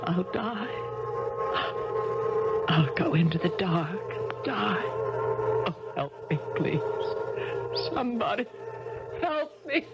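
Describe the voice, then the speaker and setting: A troubled tennis champion. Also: female voice, on the low side, big pitch swings, slow pace, long pauses. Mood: desperate. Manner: dazed and pleading in the nightmare, dry and guarded in talk. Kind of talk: 1970s radio drama acting. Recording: dull lo-fi 1970s radio broadcast with music.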